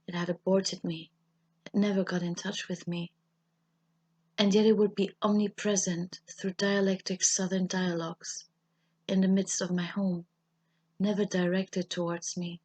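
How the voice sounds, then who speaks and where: A woman whose native language is German reads literary prose with a German accent. Her voice is husky and fairly low, staying on an even, restrained line. She phrases slowly and solemnly, leaving deliberate pauses. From German-speaking Europe. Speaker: writer reading her own essay. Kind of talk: scripted literary personal essay reading.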